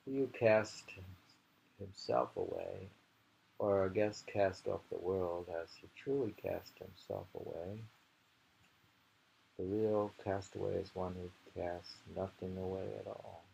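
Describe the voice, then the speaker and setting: A man's voice: low voice, fairly even intonation, slow, gravelly, a little mellow. A man reading poetry aloud and commenting informally, musing and rambling as he goes, often repeating phrases. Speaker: poetry reader. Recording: noisy amateur home recording.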